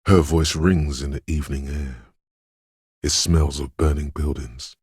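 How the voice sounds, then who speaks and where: Game inner voice of intuition: extremely low, heavily rasping, dreamlike and ominous, lingering on poetic phrases. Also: male voice, steady tone, unhurried, a little mellow, no echo, studio quality, full character voice. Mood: haunted, ominous. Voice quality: husky, gravelly bass. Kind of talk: atmospheric second-person video game narration.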